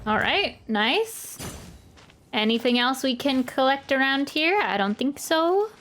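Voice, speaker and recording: A woman's voice: sits high, very animated, measured pace, fairly bright tone, a little hiss or hum. A woman doing casual live commentary as she plays a game, exclaiming and repeating short phrases as she reacts. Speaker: game streamer reacting to a playthrough. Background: game music.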